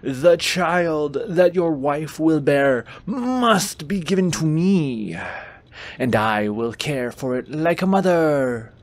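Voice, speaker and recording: A man's voice: on the high side, expressive, slow pace, no room sound, pitched above the performer's own voice. A man voicing a stern, imperious witch from a bedtime fairy tale, with dramatic, commanding delivery. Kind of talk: bedtime storybook character voice.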